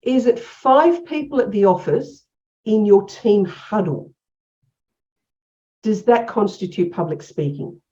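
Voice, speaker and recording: A woman with an Australian accent leading an online workshop as a coach, energetic and encouraging, asking many questions. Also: medium pitch, slow, pauses often, dull audio. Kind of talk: interactive online workshop teaching. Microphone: video call.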